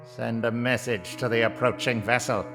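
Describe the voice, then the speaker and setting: Bright commanding undersea tyrant, a man acting it, slow and scornful, music underneath. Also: medium pitch, fairly even intonation, close to an everyday voice. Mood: scheming. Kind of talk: audio drama acting.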